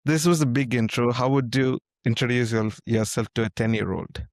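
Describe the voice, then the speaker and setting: A man's voice: medium pitch, fairly even intonation, moderate pace, dry recording. A young adult man hosting a podcast interview in an informal, friendly way with frequent fillers. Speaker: podcast host.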